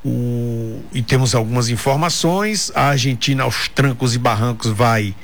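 A man hosts a casual radio talk show at an ordinary middle pitch. He banters and jokes with his co-host, then shifts into reading news items aloud. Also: unhurried. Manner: chatty, joking, reads news aloud.

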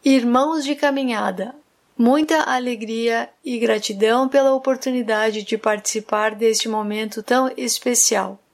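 A woman announcer gently reading a listener's devotional message on air. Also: a little high, steady tone, moderate pace. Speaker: radio announcer.

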